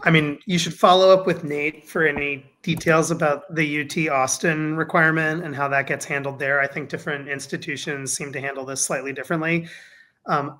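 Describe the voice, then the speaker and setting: A faculty member hosting a Q&A session on a video call, answering with a measured manner and many fillers. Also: male voice, on the high side, sharp tone. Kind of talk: casual Q&A moderation.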